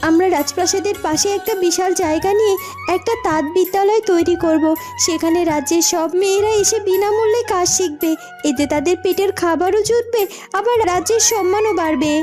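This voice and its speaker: Woman doing a gentle cartoon princess, very high voice, earnest, music behind. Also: brisk. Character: kind, earnest young princess weaver.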